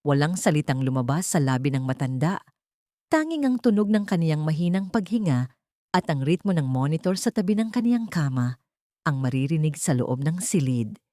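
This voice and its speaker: A woman's voice: low-pitched, big pitch swings, moderate pace, warm tone, no echo, studio quality. A woman with a Filipino accent reading a scripted, dramatic audio story as its narrator.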